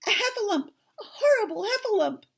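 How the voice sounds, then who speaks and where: A woman's voice: high voice, big pitch swings, slow, a little mellow, voice pushed up, full character voice. A woman voicing a tiny, timid male piglet in a children's storybook, frantic and stammering, repeating words in alarm. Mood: panicked.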